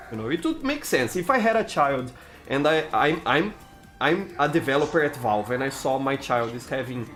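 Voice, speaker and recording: A man's voice: mid-range voice, a bit bright. A young man from Brazil with a Brazilian Portuguese accent, streaming live gameplay and chatting casually with his chat, full of restarts and "you know".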